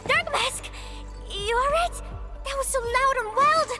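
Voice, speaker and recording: Very squeaky, excitable little sidekick. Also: female voice, measured pace. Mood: excited. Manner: squeaky, gushing. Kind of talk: cartoonish video game voice acting. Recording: music under the voice.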